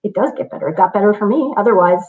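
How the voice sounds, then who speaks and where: A woman's voice: mid-range voice, animated, racing. Encouraging woman coach, muffled call audio. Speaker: public speaking coach, guest. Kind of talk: casual interview chat.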